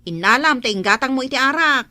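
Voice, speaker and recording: A woman acts out a reproachful relative in a comedy drama. Her voice is fairly high and sharp as she scolds, with a dry, close sound. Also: lively intonation, fast, no room sound, heavily acted.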